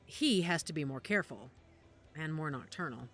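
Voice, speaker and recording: A woman playing a composed, guarded werewolf pack matriarch in an audio drama, measured and wry. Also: low-pitched, lively intonation, a bit bright, faint music behind. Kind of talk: naturalistic audio drama dialogue.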